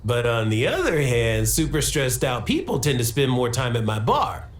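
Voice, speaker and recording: A laid-back, opportunistic bar owner in a video game. The voice is very low and swings expressively. The sound is noticeably echoey. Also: female voice, sing-song, moderate pace. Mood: wry, scheming. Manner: wry, casual. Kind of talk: video game character acting.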